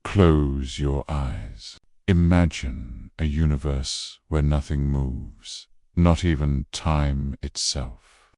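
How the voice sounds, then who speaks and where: A man narrating a bedtime sleep meditation in a slow, hushed, gentle voice that trails off softly. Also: rumbling low, steady tone, dry recording, studio quality. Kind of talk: soothing scripted bedtime narration.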